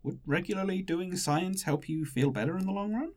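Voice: medium pitch, fairly quick, darkish tone, lightly acted. An actor in an audio drama playing a polite, reserved time-travelling train captain with formal, slightly awkward phrasing. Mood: kind.

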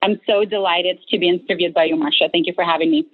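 A woman's voice: on the low side, quick pace. A woman from Ukraine with a Ukrainian accent, a guest telling stories in a casual interview and laughing often. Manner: laughs often, animated storyteller. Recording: tinny phone-like line.

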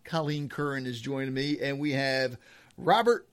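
A man's voice: medium pitch, normal speed, no echo. A man with a Baltimore accent hosting a podcast, chatting casually and joking with guests. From Baltimore area, USA. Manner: animated, laughs often, jokey. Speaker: podcast host. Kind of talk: casual interview chat.

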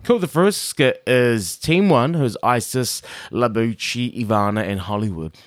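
A man with an Auckland accent co-hosting a podcast, chatting casually about a TV show and slipping into high mock voices when quoting. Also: lively intonation, unhurried, no echo, clean studio sound. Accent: New Zealand, Auckland. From New Zealand. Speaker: podcast co-host. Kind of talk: casual chat reviewing a TV show.

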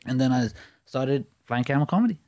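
A man being interviewed on a podcast, talking in a halting way with frequent restarts. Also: mid-range voice, lively intonation, a little mellow, no echo, clean studio sound. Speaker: podcast guest, comedy club founder. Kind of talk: casual interview.

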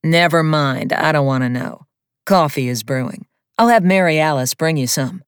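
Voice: medium pitch, normal speed, smooth, no room sound, clean studio sound, close to an everyday voice. A narrator voicing a wry, composed young woman flight attendant in an audiobook. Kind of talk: audiobook character voice.

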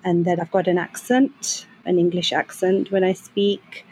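A woman who works as a translator, speaking in a slow, measured, thoughtful way. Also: lowish voice, little pitch movement, darkish tone, breathy edge, poor audio. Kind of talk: reflective interview talk.

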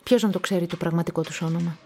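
Radio play villager: female, low and husky, chatty, colloquial gossip. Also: steady tone, warm tone. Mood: gossipy. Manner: colloquial, musing. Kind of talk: naturalistic radio drama acting.

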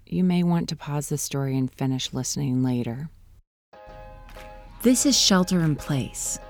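A woman with a fairly low voice narrates her podcast in a calm, gentle way. The delivery is scripted and unhurried, with faint music beneath her words. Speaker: podcast host.

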